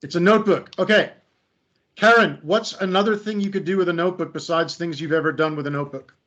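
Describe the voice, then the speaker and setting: A man's voice: fairly high pitch, fast talker, fairly bright tone. A middle-aged man leading an online creativity workshop, chatty and self-deprecating, with lots of fillers and restarts. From United States. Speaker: creativity trainer and workshop facilitator. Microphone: video call. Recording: slightly dull video call audio.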